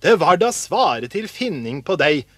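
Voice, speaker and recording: Man putting on smug older folktale brother, scolding, scornful, animated intonation, dry close sound. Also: fairly high pitch, no echo. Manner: dismissive, scolding, exasperated. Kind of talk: acted storybook dialogue.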